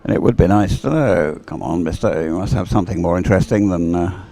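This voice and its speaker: A man commentates live on a race in a mellow, gravelly voice. He rambles and hesitates, grumbling dryly as he goes. Faint event music plays behind him. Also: sits low, fairly quick. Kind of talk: unscripted sports event commentary. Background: music playing over event sound system.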